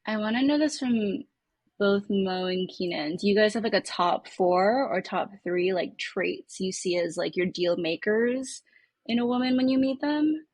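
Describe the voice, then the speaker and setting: A woman's voice: medium pitch, a little slow, darkish tone, no echo. A woman in her thirties chatting casually as a guest on a podcast. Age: 30s.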